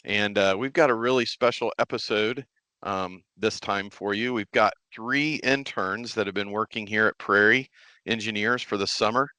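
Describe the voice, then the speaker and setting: A man's voice: on the low side, moderate pace, pushed and bright, dry recording. A man hosting a podcast in a casual interview style. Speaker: podcast host.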